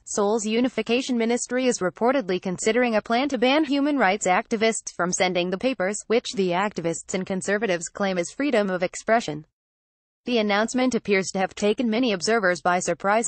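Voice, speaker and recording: A woman's voice: mid-range voice, dry recording. Woman reading news in a dry recording. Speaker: news narrator. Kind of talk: scripted news narration, read article.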